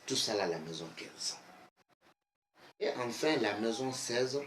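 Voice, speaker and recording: West african guy teaching, bambara first language, really slow and emphatic, repeats stuff, roomy echo. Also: lowish voice, bright, forceful tone. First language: Bambara (Bamanankan). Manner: emphatic repetition, deliberate. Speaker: geomancy teacher, online video host. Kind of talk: instructional explanation. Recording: echoey room, faint background noise.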